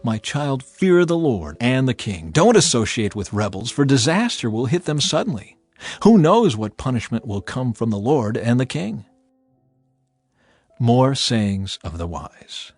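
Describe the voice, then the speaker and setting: A man narrating a dramatized audio Bible in an expressive, measured, solemn and exhortative way. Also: mid-range voice, dry recording. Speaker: scripture narrator. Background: background music.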